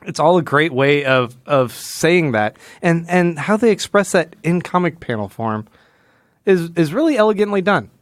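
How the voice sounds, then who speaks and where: A man's voice: on the high side, animated, normal speed, dry recording. A man hosting a podcast, chatting casually and analytically, acting out quoted lines as he retells. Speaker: podcast host. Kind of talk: casual comic book analysis chat.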